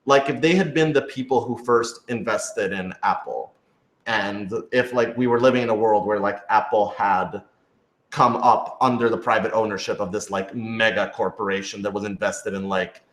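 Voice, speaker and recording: A man's voice: fairly low pitch, normal speed, fairly bright tone. A man chatting casually as a writer and producer answering questions, rambling and leaning heavily on filler words. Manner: rambling, heavy use of "like". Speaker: writer and producer of an audio drama. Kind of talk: casual Q&A chat. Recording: roomy, echoing indoor sound.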